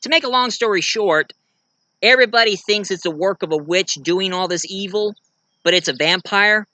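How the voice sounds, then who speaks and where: An American man giving a casual show-and-tell monologue, rambling and halting as he corrects himself along the way. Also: high-pitched, pushed and bright, dry recording. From United States. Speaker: amateur reviewer and story writer presenting own work.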